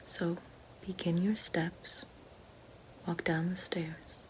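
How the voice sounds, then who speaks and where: A woman playing a regal, menacing evil queen witch in an ASMR fantasy roleplay, speaking slowly and formally in a soft, breathy, often whispered voice. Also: on the low side, little pitch movement, slight background noise, low-quality recording. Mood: commanding, hushed. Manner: slow, deliberate, formal.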